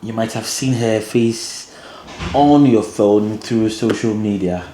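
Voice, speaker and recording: A man's voice: on the low side, takes it slowly, mellow, slight background noise. A man hosting a conversational interview, repeating words as he phrases his questions. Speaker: interview host. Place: guest's home, indoors. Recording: roomy indoor echo.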